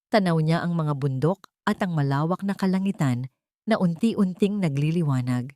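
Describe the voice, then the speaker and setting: Woman, lowish voice, dramatic story narration, dry sound. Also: a little mellow, dry recording. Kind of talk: dramatic scripted narration of a Tagalog love story.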